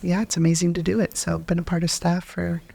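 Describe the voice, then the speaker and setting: Low-voiced chatty woman co-host. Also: level tone, fast talker, vocal fry, dark tone, slightly rough. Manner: upbeat, chatty, with filler "um"s. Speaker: women's ministry co-leader. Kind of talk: casual podcast chat.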